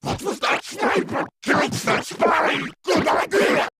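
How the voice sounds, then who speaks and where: A man voicing a frantic, foul-mouthed soldier who yells in animated parody style. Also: very high-pitched, takes it slowly. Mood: furious yelling. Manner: yelling, profane.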